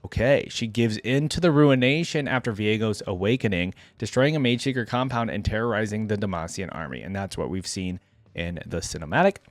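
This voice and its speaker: A man's voice: on the low side, fairly quick, no echo. Dry close-miked man, casual reactions. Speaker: reaction video host. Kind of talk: casual reaction commentary and reading aloud.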